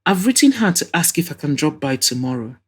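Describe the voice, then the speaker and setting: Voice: medium pitch, sing-song, a little fast, husky. A woman voicing an anxious, hopeful young woman, earnest and confiding, in an audio drama.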